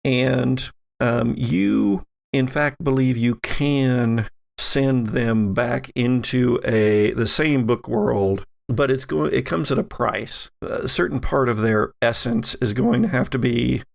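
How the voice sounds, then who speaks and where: A man's voice: mid-range voice, slow, creaky. A man running a tabletop roleplaying game as game master, explaining the rules and story to the players.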